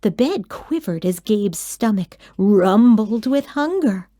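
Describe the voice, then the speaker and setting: A woman narrating a children's storybook in an expressive read-aloud style. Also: medium pitch, takes it slowly, no room sound, studio quality.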